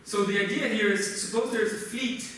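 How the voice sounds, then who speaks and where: A man with a Brazilian accent, a native Portuguese speaker, gives a technical conference lecture in a halting, explanatory way. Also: sits high, fairly even intonation, fairly bright tone. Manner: halting, explanatory, often checks with "right?". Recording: roomy, noisy conference hall, distant mic.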